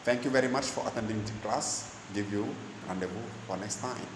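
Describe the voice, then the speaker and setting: Man, Senegalese accent, English teacher, deliberate, lowish voice, noisy echoey room. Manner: slow, deliberate delivery, switching between English, Wolof and French. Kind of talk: bilingual lesson in English and Wolof.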